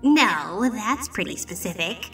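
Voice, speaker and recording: A woman's voice: mid-range voice, big pitch swings, takes it slowly, sharp tone, far from the mic, soft background music, full character voice. A woman voicing a boastful, flustered ghostly pony magician in a cartoon comic dub, stammering and exclaiming theatrically. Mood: wry, hesitant. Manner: theatrical, stammering, exclaiming, refers to self in third person.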